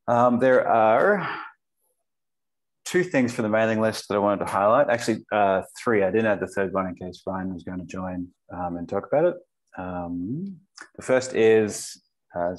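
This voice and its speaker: A man with a lower voice chairing an online meeting. He keeps things loose and informal, moving from one item to the next with easy filler words. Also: expressive, moderate pace, rough recording. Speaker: meeting host. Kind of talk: casual meeting chat. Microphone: video call.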